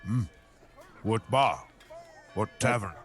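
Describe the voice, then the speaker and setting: Man, tabletop roleplayer, in character; slow, deliberate, clipped lines and thoughtful hums. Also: on the low side, faint music behind. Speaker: player.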